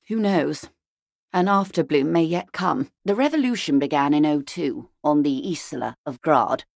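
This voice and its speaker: Composed, wry upper-class older woman, low-voiced. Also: creaky, darkish tone, no room sound, clean studio sound. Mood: resigned, musing. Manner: measured, wry, aristocratic and faintly condescending. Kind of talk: video game character dialogue.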